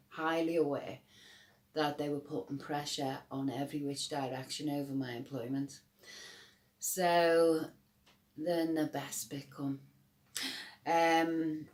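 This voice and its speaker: A low-pitched woman in middle age with a strong Scouse accent. She talks to the camera in a rambling, emphatic way, often breaking off mid-sentence and starting again. Her voice carries the hollow echo of a bare room. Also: a little mellow. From Liverpool, England. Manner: rambling, emphatic, many restarts and fillers. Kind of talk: personal testimony to camera.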